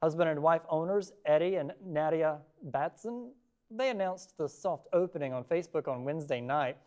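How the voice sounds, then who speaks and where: A man's voice: a little high, moderate pace, mellow. Male local TV news anchor. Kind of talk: scripted local newscast narration. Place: television news studio.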